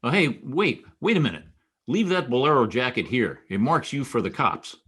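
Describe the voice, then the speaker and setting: An actor playing a wisecracking, world-weary private detective in a noir radio drama, wry and sardonic. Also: male voice, mid-range voice, very animated, normal speed, a bit bright, husky. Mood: casual, wry. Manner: wry, sardonic, clipped tough talk. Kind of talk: old-time radio noir acting and first-person narration.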